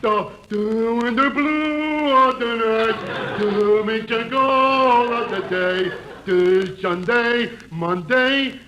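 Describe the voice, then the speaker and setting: A man's voice: very high-pitched, very slow, pushed and bright, heavily acted. An actor playing a dim-witted, daffy man who rambles in a muddled way, in a 1940s radio comedy. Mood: gushing. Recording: noisy 1940s radio broadcast.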